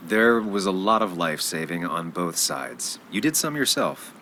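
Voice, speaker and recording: An actor playing a guarded, brooding journalist in a video game, speaking in a reserved, understated way. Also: male voice, deep voice, expressive, moderate pace, sharp tone, slight background noise, full character voice. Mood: reserved warmth. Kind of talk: naturalistic video game acting.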